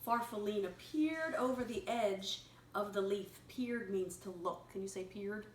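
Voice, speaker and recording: A woman reading a picture story aloud to a young child, pausing to explain words simply and prompt repetition. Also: mid-range voice. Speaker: children's story reader and teacher. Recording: echoey room with clear background noise.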